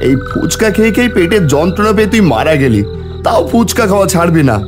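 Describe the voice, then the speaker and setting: A dramatic village storyteller and father, acted by a man, with a fairly high voice that swings widely. He speaks expressively for a cartoon tale, with music underneath. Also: big pitch swings, quick pace. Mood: pleading, scolding. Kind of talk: dramatic animated story narration and dialogue. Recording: music behind the voice.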